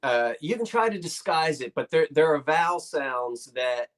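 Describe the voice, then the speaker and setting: A man's voice: on the high side, animated, normal speed, a bit bright. A man from South Jersey with a South Jersey accent, hosting a live sale stream in casual, rambling chat. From South Jersey, Delaware Valley. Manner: rambling, restarts sentences often.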